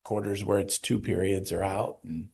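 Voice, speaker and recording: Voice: low-pitched, fairly even intonation, moderate pace, creaky, a little mellow, slightly rough. A school administrator answering questions at a board meeting in brief, informal replies, often saying yeah. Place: district office board room.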